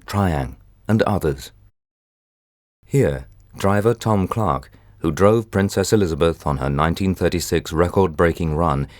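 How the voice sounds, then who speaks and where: Documentary narrator, male, low-pitched, scripted read, very dry sound. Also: a little mellow, dry recording.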